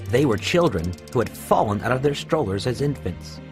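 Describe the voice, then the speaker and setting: A man's voice: mid-range voice, big pitch swings, moderate pace. A man narrating a scripted children's storybook tale. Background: music.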